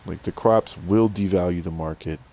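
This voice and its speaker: A middle-aged man with a rough smoker's voice, giving easygoing, rambling commentary to viewers while playing a farming game. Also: low voice, moderate pace, mellow. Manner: rambling, easygoing, self-deprecating. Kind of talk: casual gameplay commentary to viewers.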